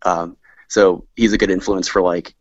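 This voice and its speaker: A man's voice: sits low, little pitch movement, moderate pace, dry recording, highs cut off. An American man in his thirties chatting casually as a podcast guest, rambling and self-deprecating as he talks. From rural southern Maryland, United States. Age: 30s. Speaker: podcast guest, touring musician and recording engineer. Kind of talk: casual chat.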